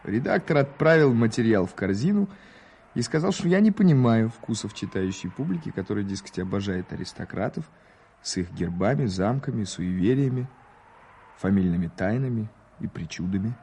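An actor playing an eager, ironic American newspaper correspondent in a radio play, theatrically formal and wry. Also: male voice, lowish voice, animated, normal speed, mellow, a little hiss or hum. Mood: wry, exasperated. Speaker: actor narrating and playing a reporter. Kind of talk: radio play storytelling and dialogue.

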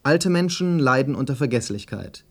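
A man with a medium-pitched voice conducts an interview. He delivers prepared, formally worded statements and questions. His way of speaking is articulate and formal. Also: lively intonation, moderate pace.

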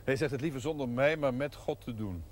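A man's voice: medium pitch, a little fast, lightly acted. A man playing a wry, courteous country doctor who speaks formally and teasingly. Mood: dry, ironic. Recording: television drama with faint hiss.

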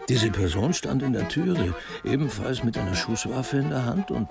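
A man with a creaky voice narrating a scripted radio crime drama. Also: mid-range voice, lively intonation, normal speed, loud music behind the voice.

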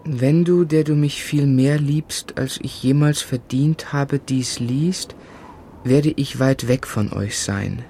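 An earnest, medium-voiced gentleman narrator. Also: medium pitch, slight background noise. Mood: grave. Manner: measured, earnest, literary. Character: earnest, sensitive young gentleman recalling sad events. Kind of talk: scripted first-person radio play narration.

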